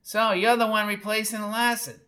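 A man voicing a sneering, sharp-tongued little old woman with a scratchy, screechy voice. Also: high voice, little pitch movement, moderate pace, pitched above the performer's own voice. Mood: sneering, scornful.

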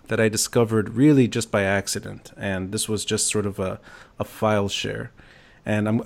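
A man's voice: fairly low pitch, creaky, a little mellow. A man from Lebanon hosting a conversational podcast interview, thoughtful and reflective, piecing his thoughts together as he goes. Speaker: podcast host.

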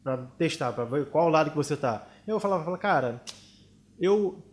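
A man's voice: on the high side, animated, brisk. A man, co-hosting a talk show and giving advice in a conversational manner. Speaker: co-host.

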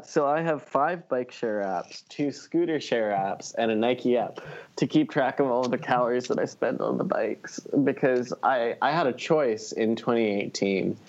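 A man's voice: medium pitch, a little mellow, highs cut off. A man chatting casually as a podcast co-host, telling personal anecdotes in a wry, self-deprecating way.

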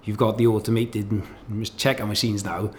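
A man talking in a thoughtful, measured way, with fillers, in a casual academic discussion. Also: lowish voice, level tone, a little hiss or hum.

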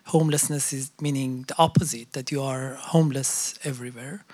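A panel speaker who sets out ideas in a halting, thoughtful way, with many pauses and restarts. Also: medium pitch, no room sound. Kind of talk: reflective discussion.

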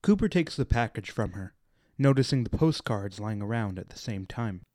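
A man's voice: on the low side, animated, moderate pace, darkish tone, no room sound, clean studio sound. A man reading scripted narration as the narrator of a radio play.

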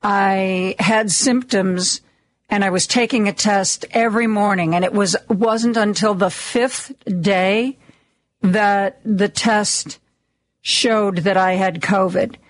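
A woman radio host talks slowly into a studio microphone, her voice a little raspy and hoarse. She rambles in a chatty, self-deprecating way with plenty of ums. The sound is close and dry. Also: medium pitch, steady tone, no room sound. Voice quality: slightly raspy. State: a little hoarse, lingering cough. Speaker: radio talk show host. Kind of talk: casual on-air monologue.

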